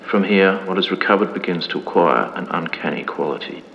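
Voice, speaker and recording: A man playing a solemn 1950s documentary narrator, reading a scripted horror mystery in a formal, measured, grave manner. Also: low-pitched, animated. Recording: dull lo-fi, long reverb, clear background noise.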